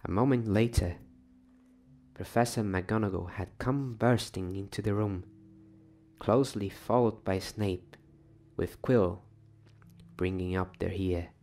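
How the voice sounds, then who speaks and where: A man narrates a bedtime story in a soft ASMR style. His voice is low and husky, with plenty of creak, and he takes his time, hushed and at times whispering. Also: a little slow, pauses often. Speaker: storyteller reading aloud.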